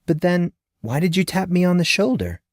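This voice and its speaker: A wide-eyed little girl played by a man, pitched high above his own voice. The delivery is hesitant and puzzled, in the manner of a fantasy storybook, with lively swings and a dry, close sound. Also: normal speed, no echo. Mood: curious. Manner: hesitant, disbelieving.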